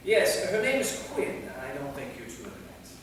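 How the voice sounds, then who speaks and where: A man's voice: mid-range voice, wildly swooping pitch, moderate pace. A man playing an anxious, awkward centuries-old vampire novelist in a staged play reading, hesitant and self-effacing. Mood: eager, nervous. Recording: echoey hall, distant mic, faint noise.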